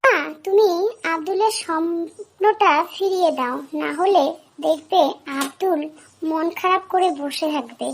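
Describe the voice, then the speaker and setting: A woman voicing a helpful fox friend in a children's cartoon, explaining things in a gently bossy way. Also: very high voice, heavily acted. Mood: scolding.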